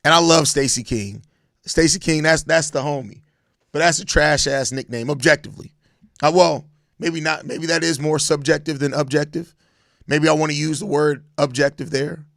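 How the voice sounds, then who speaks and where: An American man with a hoarse, raspy voice worn out from overuse, chatting casually and emphatically in slang as a solo sports podcast host. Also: on the high side, fairly even intonation, normal speed, fairly bright tone, no echo, clean studio sound. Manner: slangy, emphatic, repeats phrases. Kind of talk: casual live-stream sports chat answering viewer comments.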